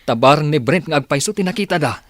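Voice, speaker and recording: A man's voice: fairly high pitch, expressive, quick pace, no room sound, clean studio sound, natural voice. Actor as skeptical, grudging radio drama man. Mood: resigned. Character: doubtful but obliging man joining a search.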